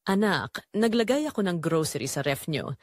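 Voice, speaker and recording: A woman's voice: lowish voice, big pitch swings, normal speed, no echo, clean studio sound. A woman voicing a caring, coaxing retired mother with a secret, in an audio drama. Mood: caring, casual.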